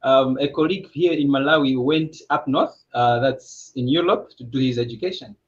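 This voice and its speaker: A man's voice: mid-range voice, moderate pace. Lo-fi man moderating an online panel. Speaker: host.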